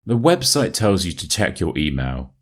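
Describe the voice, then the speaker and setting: British RP man, lively storytelling intonation. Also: deep voice, moderate pace. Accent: British, modern RP. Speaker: English teacher reading a short story. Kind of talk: scripted story narration for listening practice.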